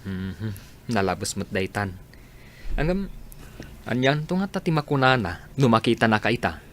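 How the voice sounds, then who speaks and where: A man playing a flattering, teasing friend in an Ilocano radio drama, with a northern Philippine accent. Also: fairly low pitch, moderate pace. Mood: flirtatious, curious. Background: faint music.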